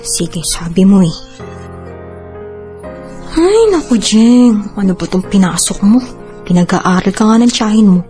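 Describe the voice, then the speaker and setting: A woman's voice: mid-range voice, expressive, a little slow, long pauses, mellow, faint music behind, lightly acted. An actress playing a put-upon teenage girl who works as her aunt's housemaid in a radio drama, plaintive and polite to elders. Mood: muttering, resentful.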